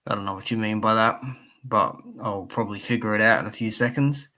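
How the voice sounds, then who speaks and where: A man with a croaky voice, chatting casually on a live stream about boxing, with plenty of fillers and asides to his chat. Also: on the low side, fairly even intonation, a little fast, bright, forceful tone. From Australia. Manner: rambling, many fillers, reads chat aloud.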